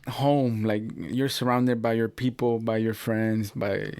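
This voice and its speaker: A man in his late twenties, from Mexico, chatting as a podcast guest, easygoing and quick to laugh. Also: fairly low pitch, steady tone, darkish tone, smooth, dry recording. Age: 20s. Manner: easygoing, laughs often, halting restarts. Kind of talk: casual chat.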